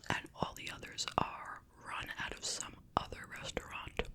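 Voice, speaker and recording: An ASMR host speaking entirely in a breathy, unvoiced whisper, chatting casually and conversationally with little asides. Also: moderate pace. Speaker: ASMR video creator and host. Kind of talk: whispered ASMR chit chat.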